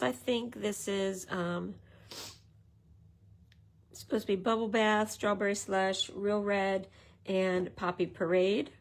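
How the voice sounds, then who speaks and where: Woman, raspy from a cold, gravelly edge, casual tutorial, chatty with fillers. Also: mid-range voice, a little hiss or hum. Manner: chatty, greets viewers, many fillers. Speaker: craft demonstrator. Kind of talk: casual live craft tutorial.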